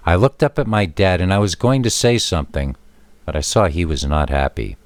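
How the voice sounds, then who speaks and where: A man's voice: low voice, animated, brisk, no echo. A man reading a scripted first-person scary story as a narrator.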